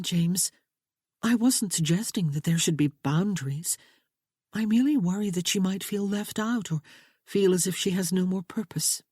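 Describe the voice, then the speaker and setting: A woman's voice: medium pitch, a little mellow, breathy edge, no echo, studio quality, voice pushed up, close to an everyday voice. A woman voicing an earnest young bride speaking to her husband and her father.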